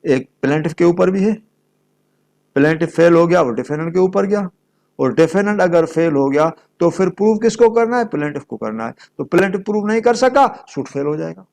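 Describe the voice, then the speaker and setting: High-pitched Pakistani man lecturing, slightly creaky. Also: expressive, a little fast, darkish tone. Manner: didactic, switches between Urdu and English, poses questions and answers them. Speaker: law lecturer. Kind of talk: explanatory lecture with worked examples.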